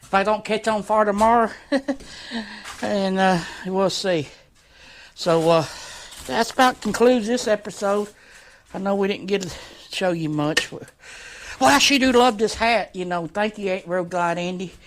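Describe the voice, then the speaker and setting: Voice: mid-range voice, measured pace, slight background noise. A vlogger giving a casual, rambling update while working on a motorcycle in a shed, joking along in folksy, colloquial grammar. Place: shed workshop.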